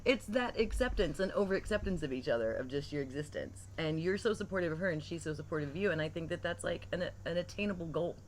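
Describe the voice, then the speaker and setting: A woman's voice: low voice, expressive, fast, few pauses, low-quality recording. A woman in her forties chatting casually and playfully as a podcast co-host on an outdoor porch. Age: 40s. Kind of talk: casual chat. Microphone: shared desktop microphone on table. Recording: clear ambient noise behind the voice.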